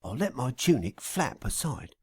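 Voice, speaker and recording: A man's voice: medium pitch, big pitch swings, moderate pace, slightly rough. A man voicing a teasing, bossy, flirtatious woman with a purring, coquettish delivery in an audiobook. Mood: confident, flirty. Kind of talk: acted audiobook dialogue.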